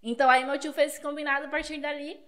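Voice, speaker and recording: A woman's voice: high-pitched, little pitch movement, fast talker, a bit bright. A woman telling a childhood story in a casual podcast chat, laughing often. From Paraguay border region. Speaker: guest.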